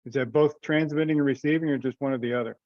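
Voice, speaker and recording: A man's voice: mid-range voice, steady tone, fast, a little mellow, no room sound. A man presenting informally in a remote meeting, thinking aloud tentatively and seeking agreement, over muffled audio. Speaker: presenter in a committee teleconference. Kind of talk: informal meeting discussion, explaining a proposal. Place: remote conference call.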